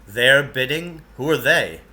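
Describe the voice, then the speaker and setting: A man's voice: medium pitch, lively intonation, unhurried, pushed and bright. A man voicing a skeptical, questioning boy from a storybook in a blunt manner. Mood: puzzled. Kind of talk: storybook character voice.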